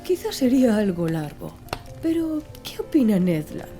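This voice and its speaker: Woman playing gentleman master, learned, formal, measured, courteous, very dark relaxed tone, audiobook, music behind. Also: a little high. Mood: courteous, reflective. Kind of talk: audiobook character dialogue.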